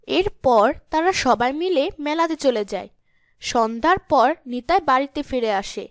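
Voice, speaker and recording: A woman's voice: high voice, animated, measured pace, no echo, studio quality. A woman reading scripted storytelling narration for a cartoon.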